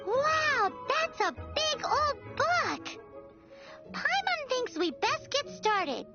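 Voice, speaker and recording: A woman voicing a tiny, cheeky, curious female fairy companion in a video game, with a squeaky childlike voice and cutesy manner. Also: very animated, extremely slow, lo-fi sound, over loud music, exaggerated voice. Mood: amazed. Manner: cutesy, refers to herself in third person. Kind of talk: cartoonish video game voice acting.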